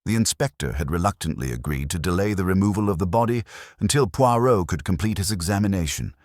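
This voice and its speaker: A man reading a scripted mystery story in a formal, measured literary manner, as an audiobook narrator. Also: low voice, a little mellow, no room sound, clean studio sound.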